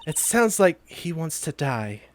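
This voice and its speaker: Someone playing a calm senior lawyer, quietly spoken. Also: mid-range voice, unhurried. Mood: composed, curious. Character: composed, encouraging senior defense lawyer, the boss.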